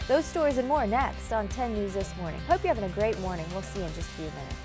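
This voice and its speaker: A woman's voice: mid-range voice, expressive, fast talker, music in the background. A woman reading scripted television news as a morning anchor.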